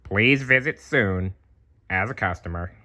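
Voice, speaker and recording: A man's voice: on the low side, a little slow, sharp tone. A man voicing a grouchy, smug cartoon neighbor in a children's storybook.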